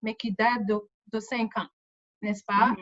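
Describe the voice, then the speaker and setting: A woman's voice: on the high side, flat delivery, a little slow, fairly bright tone, dull audio. A woman moderating a community discussion, speaking spontaneously and hesitantly, often repeating words as she explains.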